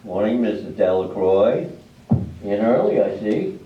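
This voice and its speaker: A man's voice: fairly low pitch, sing-song, a little slow. Man acting a folksy farmer, distant and echoey. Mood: neighborly. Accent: American. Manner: folksy, laconic. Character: folksy small-town farmer and family man. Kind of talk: readers theater stage acting. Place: live play in a library. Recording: echoey, dull, distant, noisy room recording.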